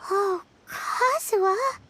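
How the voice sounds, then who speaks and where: A woman voicing a small, excitable, caring childlike companion in a video game, with a squeaky voice. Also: slow pace, noisy background. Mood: touched. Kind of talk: animated game character acting.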